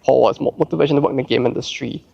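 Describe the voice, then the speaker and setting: A man's voice: mid-range voice, fairly even intonation, rapid-fire, creaky, dark tone, slightly rough, no room sound, highs cut off. A young man from Singapore with a Singaporean English accent, chatting casually as he answers viewer questions, rambling with lots of "like" and restarts. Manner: rambling, heavy use of "like", frequent restarts. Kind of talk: casual chat.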